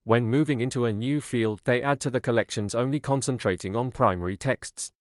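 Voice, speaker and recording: A man's voice: medium pitch, fairly quick, no echo, clean studio sound. A man reading scripted practice passages aloud as a narrator.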